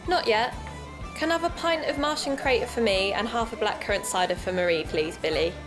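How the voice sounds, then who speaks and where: A woman's voice: fairly high pitch, fairly quick, over music, lightly acted. A woman playing a keen young amateur podcaster in an audio drama, chatty and explanatory in manner. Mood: friendly, casual. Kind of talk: naturalistic audio drama dialogue and narration.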